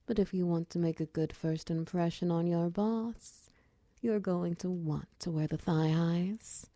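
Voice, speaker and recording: Creaky, mellow minotaur wizard woman, acted by a woman. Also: lowish voice, measured pace, dry recording. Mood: flirty, teasing. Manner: teasing, coy, softly breathy in places. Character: flirtatious, confident female minotaur wizard running a potion shop. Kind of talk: roleplay ASMR, intimate one-sided dialogue to the listener.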